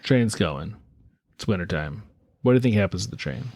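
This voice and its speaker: A man co-hosting a podcast in casual chat, teasing and building up a story with dramatic pauses. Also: on the low side, animated, vocal fry, darkish tone, breathy edge, dry recording, studio quality. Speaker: podcast co-host.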